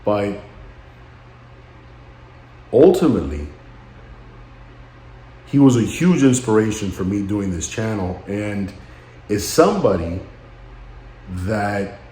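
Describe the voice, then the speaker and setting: Somber low-voiced man, roomy sound. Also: big pitch swings, dark tone, slight background noise. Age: late 30s. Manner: halting, somber, introspective. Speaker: vlogger. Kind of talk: reflective personal vlog monologue.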